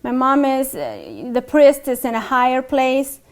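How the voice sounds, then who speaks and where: A woman's voice: sits high, wildly swooping pitch, unhurried. A young adult woman from Mexico with a Mexican Spanish accent, telling her life story in a documentary interview, hesitant, with many fillers and restarts.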